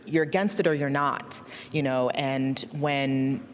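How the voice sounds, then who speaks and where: A woman's voice: extremely low pitch, takes it slowly, long reverb tail, a little hiss or hum. A woman in her thirties, a film director born in Nigeria and raised in Alaska, answering interview questions earnestly and reflectively. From born in Nigeria, raised in Alaska, USA. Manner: earnest, reflective, often laughing softly.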